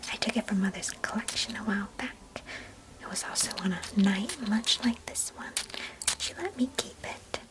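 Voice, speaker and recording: A woman voicing a chatty, mischievous and affectionate young girl cousin in an ASMR roleplay, in a breathy, intimate whisper close to the mic. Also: medium pitch, animated, moderate pace, lightly acted, low-quality recording. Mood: confiding, wistful. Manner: gentle, chatty, storybook-like old-fashioned phrasing. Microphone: close microphone.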